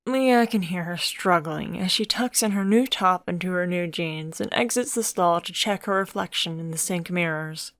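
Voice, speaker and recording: A woman's voice: on the low side, normal speed, hardly any pauses, fairly bright tone, no echo. A woman narrating a comic-dub story, reading steadily and voicing every character.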